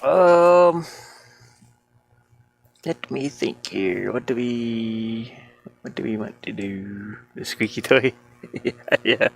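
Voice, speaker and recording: A man's voice: mid-range voice, drawn-out words, vocal fry, long pauses. A man casually thinking aloud in an amused, rambling way while commentating on his own game-building screen share. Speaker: indie game developer.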